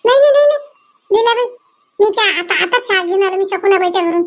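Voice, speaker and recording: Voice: extremely high pitch, lively intonation, fast. A performer voicing a flustered, evasive village husband in a comedy cartoon, with a Varhadi Marathi accent, hurriedly repeating words. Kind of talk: exaggerated cartoon comedy dialogue.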